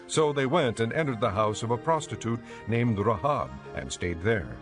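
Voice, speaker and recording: A man narrating scripture from a script in a measured, formal way. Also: medium pitch, animated. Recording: music under the voice.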